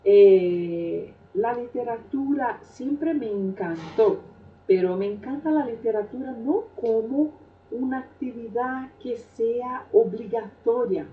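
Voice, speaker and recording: A woman's voice: fairly high pitch, slight background noise. Brief: middle-aged Brazilian woman with a Portuguese accent, reflective storyteller, recorded far from the mic in a dull, echoey room. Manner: unhurried, hesitant with frequent "eh" fillers. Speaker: Spanish teacher telling her life story. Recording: roomy, distant, dull sound.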